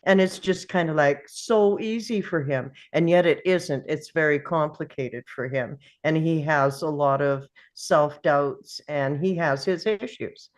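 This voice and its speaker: A woman with a low voice shares her view in a casual group discussion. She speaks slowly and thoughtfully, pausing as she looks for the right words.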